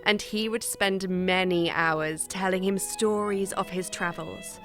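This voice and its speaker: Woman as a low-voiced, earnest gothic heroine. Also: fairly even intonation, a little slow, fairly bright tone. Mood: solemn narration. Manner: formal, earnest. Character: brave, devoted young wife narrating a gothic tale. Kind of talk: dramatic scripted narration. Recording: music behind the voice.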